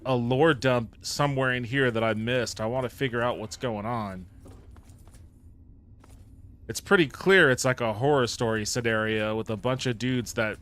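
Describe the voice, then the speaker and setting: An upbeat male streamer at a middle pitch, talking casually while he plays. He greets and thanks viewers by name and swears casually. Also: a bit bright, clear, resonant tone. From Japan. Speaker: livestreamer. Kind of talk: casual live-stream gameplay chat.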